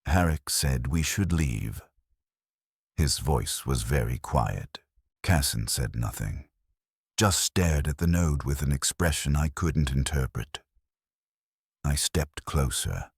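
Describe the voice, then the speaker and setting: Very deep-voiced male story narrator. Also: slow, creaky, slightly rough, dry recording, clean studio sound. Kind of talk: scripted first-person sci-fi horror story narration.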